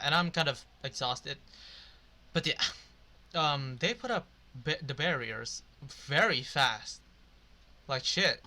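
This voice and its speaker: Bright-voiced man casually commentating gameplay. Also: on the high side. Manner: rambling, swears casually. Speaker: video game streamer.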